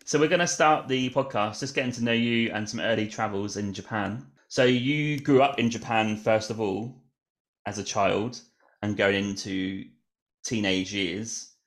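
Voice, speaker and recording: A man's voice: on the low side, unhurried. Upbeat man hosting a travel podcast. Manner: upbeat, scripted introduction then casual questions. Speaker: podcast host. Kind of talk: podcast interview and intro.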